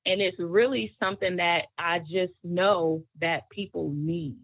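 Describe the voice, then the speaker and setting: A woman guest singer, chatting in an interview in a relaxed, storytelling way, laughing often. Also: lowish voice, measured pace, no room sound, highs cut off. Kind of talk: casual interview chat.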